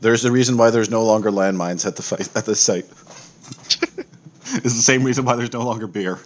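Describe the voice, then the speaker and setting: A man's voice: on the low side, moderate pace. A man acting as game master, narrating a tabletop roleplaying game.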